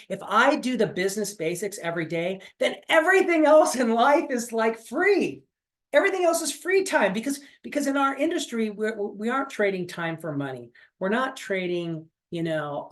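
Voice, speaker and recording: A man in his late forties leading a weekly motivational sales-coaching call, talking in a repetitive, encouraging way with frequent fillers. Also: sits high. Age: 40s. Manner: coaching, repetitive, pep-talk with many fillers.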